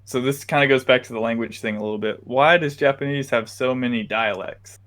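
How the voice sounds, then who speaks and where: A young man co-hosting a podcast, chatting casually with many pauses and fillers. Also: mid-range voice, a bit bright. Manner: easygoing, hesitant, lots of fillers. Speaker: podcast co-host. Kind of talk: casual chat.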